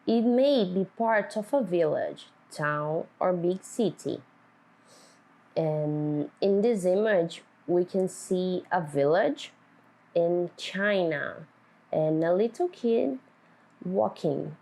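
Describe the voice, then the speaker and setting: A woman teacher reading a picture book aloud to children, speaking deliberately and putting simple questions to them. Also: lowish voice, lively intonation, gravelly, mellow, rough recording. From Brazil.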